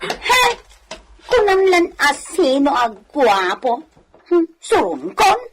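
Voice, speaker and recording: An Ilocano-accented woman acting a sharp-tongued, scornful villager in a radio comedy, scolding and taunting. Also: sits high, sing-song, slow pace, heavily acted. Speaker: actress in a radio comedy drama.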